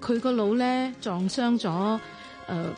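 Polite unsure office employee, hong kong cantonese radio play, soft music in background. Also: female voice, on the high side, slow pace. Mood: polite, tentative. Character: polite, hesitant female company employee. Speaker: voice actor in radio drama. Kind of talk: radio drama acting.

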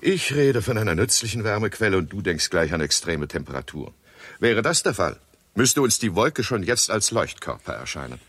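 An actor playing an optimistic astronomer who argues and explains, in a 1960s German radio drama. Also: male voice, on the low side, very animated, normal speed, fairly bright tone, breathy edge, no echo, exaggerated voice. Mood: firm, insistent. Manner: argumentative, explanatory. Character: optimistic, reasoning male astronomer colleague.

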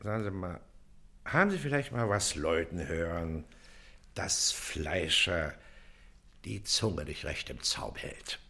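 A pompous Prussian baron in office, with a sharp, forceful voice that swings widely. He is condescending and suspicious, questioning people in clipped bursts, in radio play style. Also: low voice, animated, slow, creaky, heavily acted. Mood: self-important, suspicious. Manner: condescending, clipped, interrogating, haughty. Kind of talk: German radio play acting.

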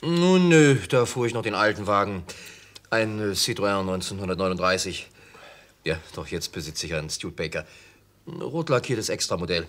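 An actor playing an affable, unsuspecting travelling salesman in his forties in a German radio play, with a husky voice and a bluff, easygoing manner. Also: fairly low pitch, expressive, moderate pace, fairly bright tone. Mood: proud, chatty. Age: 40s. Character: affable, naive forty-year-old travelling salesman, amused, unsuspecting.

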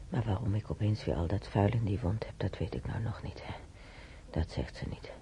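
A woman's voice: very deep voice, brisk, creaky, warm tone, whispered. An actress playing a frail old woman in hospital in a radio drama, hesitant and murmuring, repeating herself. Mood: fretful. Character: frail old woman hospital patient, wandering memories, grateful, fretful. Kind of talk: naturalistic radio drama acting. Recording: noisy, muffled old radio recording.

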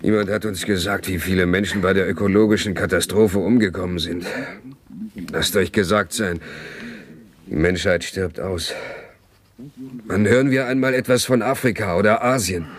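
An actor playing a bitter, sardonic dissident of a dome city in a radio play, with a grumbling, commanding manner. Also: male voice, low-pitched, normal speed, pauses often. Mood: bitter, agitated. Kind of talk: 1970s German radio drama acting.

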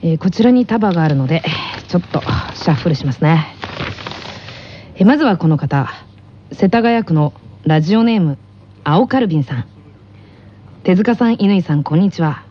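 A woman voicing a calm, polite middle-school boy who hosts an anime radio school broadcast in a measured, gentle manner. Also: lowish voice, voice pushed down, close to an everyday voice. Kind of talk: anime character radio segment. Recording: slightly dull radio recording, faint noise.

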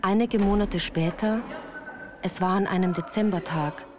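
A woman's voice: mid-range voice, normal speed, background noise. A woman reading scripted first-person narration for a radio play. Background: music.

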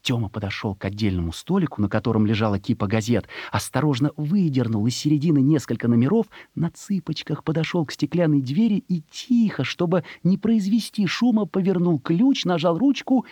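A man's voice: fairly high pitch, expressive, brisk, few pauses, dark tone, slightly rough, dry recording. A man reading a literary story as a narrator, expressively and with emotion, in a scripted dramatic style.

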